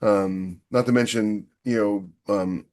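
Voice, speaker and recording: Opinionated man, slightly low voice. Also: steady tone, slow pace, dark tone. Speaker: podcast co-host. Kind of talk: casual opinionated discussion.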